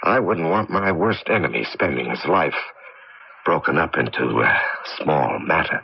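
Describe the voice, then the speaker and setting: An actor playing a patient but exasperated grandson in a 1980s radio drama. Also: male voice, rumbling low, lively intonation, a little slow, creaky, husky, full character voice. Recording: muffled old radio broadcast.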